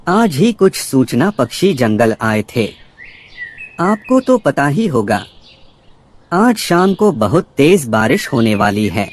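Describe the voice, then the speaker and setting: A chatty cartoon crow, acted by a man, with a mid-pitched voice that swoops up and down. He is polite and talkative, delivering his news at a slow pace. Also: a little hiss or hum. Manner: talkative, respectful, animated. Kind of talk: Hindi cartoon character acting.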